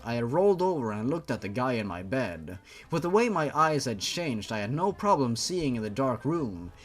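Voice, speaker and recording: A man reading fan fiction aloud in a theatrical, mocking way, as host and commentator. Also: mid-range voice. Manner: theatrical, sweary, incredulous asides. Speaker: host, reader.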